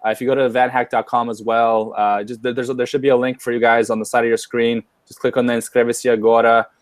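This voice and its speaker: A man's voice: mid-range voice, flat delivery, quick pace, no room sound. A man hosting a live webinar, chatting casually with many fillers and restarts. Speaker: webinar host. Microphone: webcam or headset on a video call.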